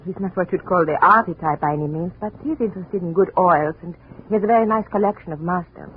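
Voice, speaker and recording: Actress as gentle young daughter, muffled radio. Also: female voice, lowish voice, quick pace, close to an everyday voice. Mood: relieved, helpful. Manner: gentle, courteous, earnest. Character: polite, devoted young woman, gallery owner's daughter. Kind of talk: 1950s radio drama acting. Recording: muffled, noisy old radio recording.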